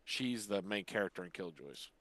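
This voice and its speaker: A man's voice: low voice, lively intonation, moderate pace, fairly bright tone, no room sound. Older man podcaster in a dry, close recording. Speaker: podcast co-host. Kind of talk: casual chat about sci-fi shows.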